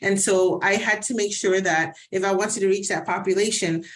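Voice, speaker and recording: A woman's voice: mid-range voice, fairly even intonation, normal speed. A woman physician from New Jersey, whose first language is Spanish, chatting in an interview and telling anecdotes with frequent restarts. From New Jersey, USA. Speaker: physician, guest. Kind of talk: casual interview conversation.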